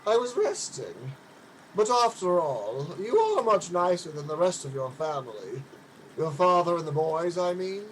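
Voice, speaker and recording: A man's voice: fairly high pitch, very animated, a little slow, background noise. A man playing a proud, mournful, centuries-old aristocratic ghost in a radio play, with a pompous, fussy, theatrical delivery. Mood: fussy, haughty.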